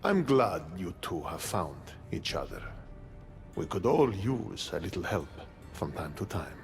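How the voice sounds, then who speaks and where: A sly, sardonic god of the underworld in a video game. His lowish voice drawls slowly with theatrical, animated swoops, smug and mocking, over faint music. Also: gravelly, heavily acted. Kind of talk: dramatic video game acting.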